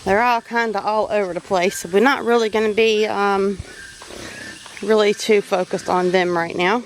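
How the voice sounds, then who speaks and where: A woman's voice: medium pitch, steady tone, moderate pace, low-quality recording. Southern woman giving plain farm advice. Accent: Southern American. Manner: plain, instructive, conversational. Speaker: homesteader. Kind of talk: walking tour with practical advice. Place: outdoors on a farm. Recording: outdoor farm recording with noise.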